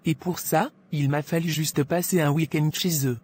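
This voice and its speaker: A woman's voice: sits low, steady tone, normal speed, darkish tone, lightly acted. A woman playing a gossipy, scheming pharmacy employee in a cartoon drama, insinuating and self-assured, with faint noise. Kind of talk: animated moral drama dialogue.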